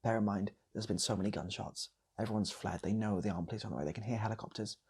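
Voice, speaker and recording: A man improvising a comic story in a soft, breathy ASMR style, often repeating phrases and slipping into whispers. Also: fairly low pitch, fairly even intonation, fast. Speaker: storyteller, channel host.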